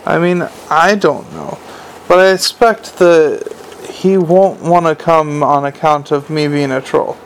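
A man voicing a dim-witted young male troll who speaks slowly and rambles in halting fits. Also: fairly high pitch, slight background noise, close to an everyday voice, poor audio. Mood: eager. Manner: slow, halting, rambling speech.

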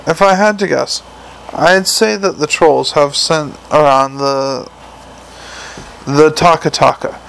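A man voicing a calm, measured male troll elder. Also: fairly high pitch, vocal fry, slight background noise, heavily acted, rough recording.

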